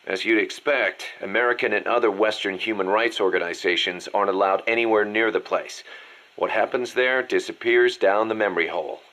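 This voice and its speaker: A man playing a gruff, serious military second-in-command in a dramatic game audio scene, speaking with emphasis. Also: fairly low pitch, fairly quick, a bit bright, close to an everyday voice. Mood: grave, explanatory. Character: gruff, urgent military second-in-command briefing his boss.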